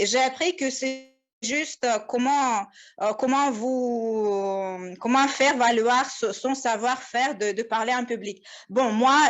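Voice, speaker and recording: A woman speaks slowly, in a halting, unsure way, stopping and restarting her sentences again and again. Her voice is a little higher than most. She is heard over a video call. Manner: halting, many fillers and restarts. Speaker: first-time participant. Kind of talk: hesitant spoken self-introduction.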